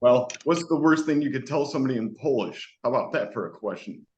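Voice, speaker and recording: A male host talks loosely and enthusiastically, swearing freely, in a middle-pitched voice. His audio sounds roomy and dull, like a lo-fi home setup. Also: animated, fast talker, a little mellow. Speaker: podcast host. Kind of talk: casual interview chat.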